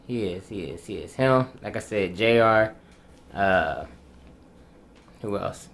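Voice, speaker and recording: Low-voiced man in his late twenties. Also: lively intonation, takes it slowly, creaky, a bit bright. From Dayton, Ohio. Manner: thoughtful, easygoing storyteller. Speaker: rapper guest. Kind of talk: casual interview. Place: small studio with backdrop, indoors. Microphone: desk mic on boom arm.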